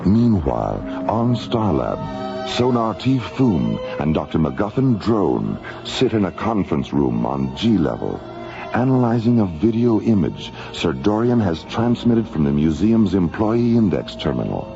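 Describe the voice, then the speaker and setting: A man's voice: sits low, big pitch swings, a little slow, gravelly. A man narrating a radio drama in a dramatic, scripted announcer style. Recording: dull radio recording, loud music.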